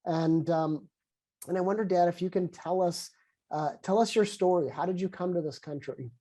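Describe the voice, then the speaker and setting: A man's voice: sits high, warm tone. A man with a head cold and a congested voice, speaking earnestly on a video call as he opens a webinar conversation. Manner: earnest, halting with restarts. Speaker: sociologist and conversation co-lead.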